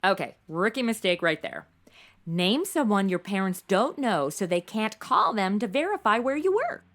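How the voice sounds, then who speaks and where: A woman's voice: mid-range voice, sing-song, moderate pace, a bit bright. A woman playing a sassy, spunky twenty-something amateur cop in a comedic audio drama, with flippant, slangy delivery. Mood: mocking.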